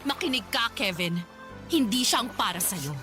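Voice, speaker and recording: A woman's voice: a little high, expressive, moderate pace, close to an everyday voice. A woman playing a haughty, scornful rich mother who bosses people around in a Filipino radio drama. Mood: stern, contemptuous. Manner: scornful, commanding, melodramatic. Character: haughty, domineering rich mother scorning her son's love. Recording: music bed under dialogue.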